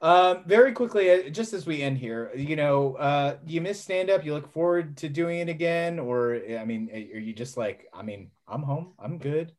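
A man's voice: fairly high pitch, moderate pace, few pauses, a little mellow. A man hosting a casual podcast interview, joking along and giving many affirming mm-hmms. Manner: jokey, lots of affirming mm-hmms. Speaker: podcast host.

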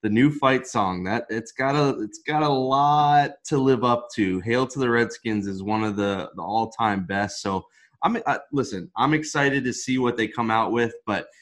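A man's voice: medium pitch, normal speed. A man hosting a casual sports talk show, upbeat and steering the conversation with questions. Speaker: podcast host.

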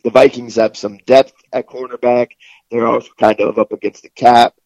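A man giving casual sports analysis as a guest, in a halting way with repeated words, on a muffled recording. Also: mid-range voice, little pitch movement, a little mellow, no room sound. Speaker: guest football reporter. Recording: muffled, lo-fi call sound.

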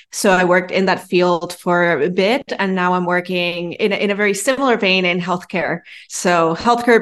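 Lowish-voiced woman from Venezuela, interview guest. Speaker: podcast guest, partnerships manager. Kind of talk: conversational interview answers.

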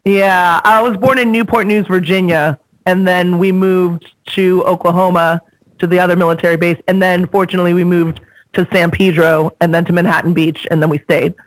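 A man with a high, bright voice hosts a podcast in lively, easygoing chat, often breaking into a laugh. The sound is dry and close, with no room around it. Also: moderate pace, no room sound, poor audio. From California, United States. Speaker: podcast host. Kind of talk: casual podcast conversation.

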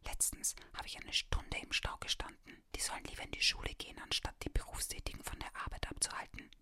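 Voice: fast talker, lightly acted. A narrator voicing a mocking, stubborn middle-aged father in an audiobook, in a grumbling whisper. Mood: scornful. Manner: scornful, grumbling.

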